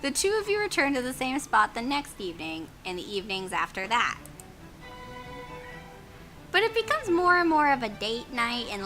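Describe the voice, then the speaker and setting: Woman, lively swooping intonation, playful read-aloud, casual exclamatory asides, clear background music. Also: medium pitch, rough recording. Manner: expressive, exclamatory. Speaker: let's play host reading story text. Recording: music behind the voice.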